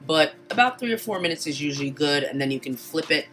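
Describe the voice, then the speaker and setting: Woman, deep voice, relaxed chatty recipe voice-over, faint background music. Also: quick pace. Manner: relaxed, chatty instructions with casual asides. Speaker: cooking channel host. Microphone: home voice-over mic.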